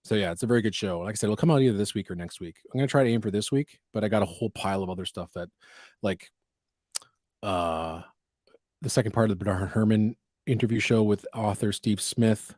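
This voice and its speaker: A man's voice: lowish voice, a little mellow, no echo. Male host, casual livestream chat, slightly scratchy voice, rambling delivery, dry close sound. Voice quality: scratchy voice from allergies. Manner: rambling, quick asides. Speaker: podcast host.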